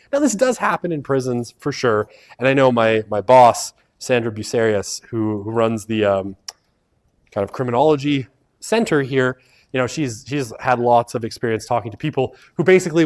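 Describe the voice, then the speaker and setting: A man giving a university lecture to a class on a wireless mic, talking in a loose conversational way with many "right?" tags and fillers. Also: mid-range voice. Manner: animated, swings to loud emphasis and mutters asides. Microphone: wireless lavalier mic.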